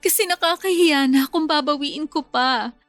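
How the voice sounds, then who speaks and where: An actress playing a heartbroken, clinging young bride-to-be who confides plaintively and tearfully in a Filipino radio drama. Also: female voice, sits high, normal speed, a bit bright, no room sound, faint music behind. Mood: plaintive, insistent.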